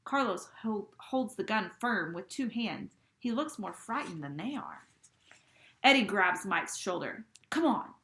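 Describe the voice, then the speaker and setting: A woman reading a first-person novel aloud, with expressive, dramatic delivery. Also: medium pitch, unhurried, reverberant. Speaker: reader.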